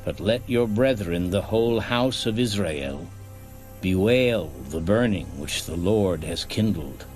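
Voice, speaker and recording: An actor playing a solemn, authoritative male prophet giving commands gravely and deliberately in a dramatized scripture reading. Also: sits low, lively intonation, a little mellow, faint music behind. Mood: solemn, commanding.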